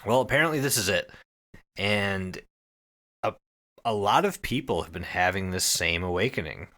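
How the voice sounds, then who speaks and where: A man with a bright, forceful voice retells a story as a podcast host. His delivery is casual and full of swearing, with pitch swinging widely as he goes. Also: moderate pace. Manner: profane, animated retelling. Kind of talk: casual storytelling recap with co-hosts.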